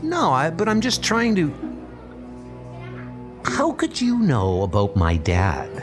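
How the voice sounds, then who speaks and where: Man as high-voiced, unsure young superhero. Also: wildly swooping pitch, heavily acted. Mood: uneasy. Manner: hesitant, higher-pitched, hurried. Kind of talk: comic book dub acting. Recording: music bed under the voice.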